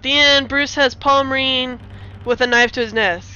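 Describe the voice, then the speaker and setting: A woman's voice: high voice, steady tone, normal speed, bright, forceful tone, clean tone, dull audio. A woman streamer giving a comic dramatic reading of a screenplay with casual, giggly asides. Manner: giggly, self-interrupting.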